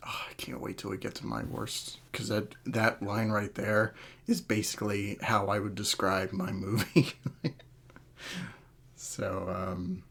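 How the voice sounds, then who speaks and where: Male podcast host, low and fry-heavy, casual, sweary, laughs easily. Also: flat delivery, a little slow, fairly bright tone, slight background noise. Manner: sweary, jokingly exasperated, laughs often. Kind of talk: casual chat, opinionated movie discussion.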